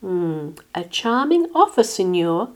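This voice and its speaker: Storybook cockroach heroine read by a woman: young, dainty, courteous female, sweetly spoken and higher than the narration. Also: animated, slow, darkish tone. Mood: sweet, polite. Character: sweet, polite, beautiful young female cockroach, aged twenty.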